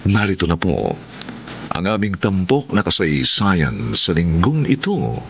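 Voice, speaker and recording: A man with a Filipino accent narrating a scripted radio drama as its announcer, in a formal, measured, theatrical manner. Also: low voice, big pitch swings, vocal fry, a bit bright, slight background noise.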